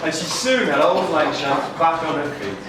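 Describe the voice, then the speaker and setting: A man's voice: on the high side, expressive, moderate pace. A man narrating a scripted story as part of a live puppet show. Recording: echoey noisy hall, far from microphone.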